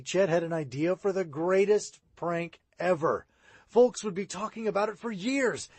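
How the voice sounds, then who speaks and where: Lively-voiced man reading a children's book. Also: a little high, husky, no room sound. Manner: expressive read-aloud, rising and falling. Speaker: audiobook reader. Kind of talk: children's audiobook narration.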